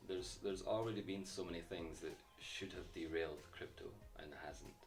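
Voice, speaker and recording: A man's voice: deep voice, moderate pace. A man talking as a guest in a casual chat, explaining his views confidently and with emphasis. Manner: confident, emphatic, some restarts. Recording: faint voice from far off the mic, light music.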